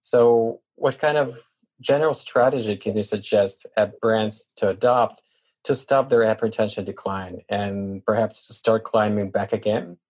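A man hosting an interview podcast in a measured, deliberate way, sometimes repeating and rephrasing himself. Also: on the low side, a little mellow. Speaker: podcast host.

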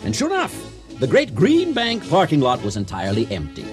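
A man's voice: medium pitch, huge pitch swings, a little fast, music in the background. A man acting as a theatrical, showman-like narrator of a science fiction radio drama. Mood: narrating, dramatic. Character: folksy, theatrical storyteller presenting a space tale.

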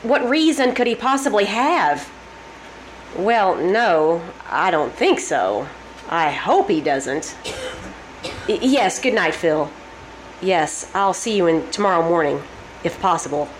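An actress playing an impatient, worried, suspicious wife in old-time radio drama style, with insistent, questioning delivery. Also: female voice, fairly low pitch, animated, slow pace. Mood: anxious, puzzled. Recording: noisy live stage performance recording.

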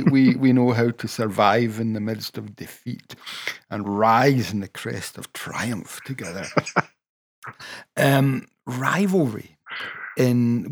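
A man's voice: medium pitch, breathy edge. A middle-aged Scottish man with a Scottish accent, interviewing in a chatty way and often joking and laughing. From Scotland. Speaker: interviewer, minister. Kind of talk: conversational interview.

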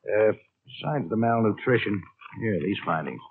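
A dry, tinny old radio recording of an efficient American claims man. His low voice moves expressively as he talks in a plain, businesslike, conversational way. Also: expressive, moderate pace, husky, dry recording. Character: efficient, businesslike male insurance claims manager. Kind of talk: 1950s American radio drama acting.

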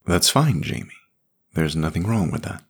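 A man reading a calm, firm grown woman. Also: very deep voice, moderate pace, gravelly, husky, full character voice. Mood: gentle. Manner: steady and gently insistent. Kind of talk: audiobook character voice.

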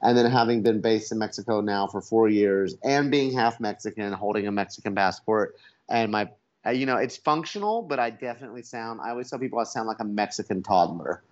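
A man's voice: on the low side, brisk, muffled recording. A man on a remote call as a podcast guest who blogs about food, chatting casually and laughing at himself. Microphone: Skype call.

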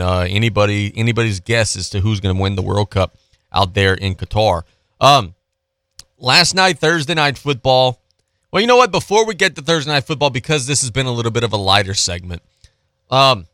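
A man hosting sports talk radio in a casual, chatty, slangy style, telling stories in an animated, repetitive way on a close studio microphone. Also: mid-range voice, no echo.

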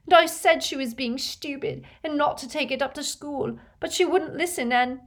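Lady doing a weepy teen schoolgirl, high pitched, upset and sobbing, audiobook character. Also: normal speed, a bit bright. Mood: sobbing, distraught. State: crying. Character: distraught sobbing teenage schoolgirl.

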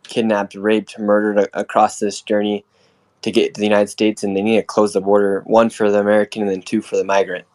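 Young male reporter guest, somewhat low, plain and earnest. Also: completely flat delivery, brisk, a little mellow. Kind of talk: live interview.

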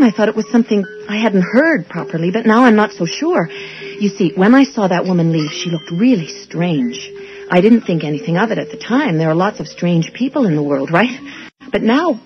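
A woman plays an earnest young wife turned amateur sleuth in a radio drama. She is insistent and suspicious, with a medium-pitched voice, in a dull lo-fi recording with music under it. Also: close to an everyday voice. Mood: suspicious, planning. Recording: dull lo-fi old radio broadcast.

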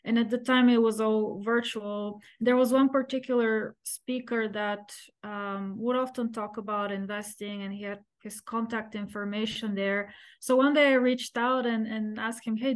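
Middle-aged woman guest from bulgaria, measured and articulate, says um a lot. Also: a little high, little pitch movement, low-quality recording. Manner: articulate, measured, with frequent um fillers. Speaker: podcast guest. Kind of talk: interview answers.

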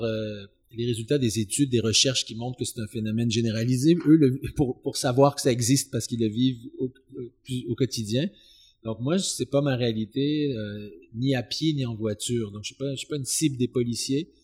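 A man answering as an expert guest in an interview, explaining in a halting, searching way and often repeating words. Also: mid-range voice, darkish tone, breathy edge. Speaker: expert guest, sociology professor.

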